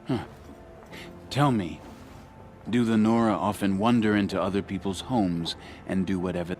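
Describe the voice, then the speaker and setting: An actor playing a haughty, contemptuous hunting lodge leader in a video game, with a sneering, pompous delivery. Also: male voice, low voice, normal speed, a little mellow, faint music behind, lightly acted. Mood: condescending.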